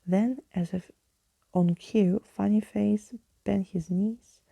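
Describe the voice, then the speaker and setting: A woman softly narrating a storybook in an ASMR style, close to the microphone. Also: mid-range voice, steady tone, slow, gravelly, slightly rough. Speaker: ASMR reader.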